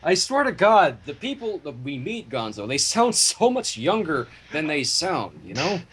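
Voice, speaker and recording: A man reading a story theatrically on a livestream, breaking off into casual banter, with a husky voice. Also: a little high, big pitch swings, normal speed, fairly bright tone. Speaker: fan fiction reader and livestream host.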